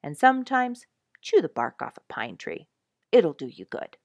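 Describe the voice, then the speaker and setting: A woman's voice: on the low side, very animated, normal speed, darkish tone, no room sound. A woman voicing a blunt, self-assured young male porcupine who lectures in a folksy, emphatic way, as in a storybook. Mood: matter-of-fact. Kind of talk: storybook character speech.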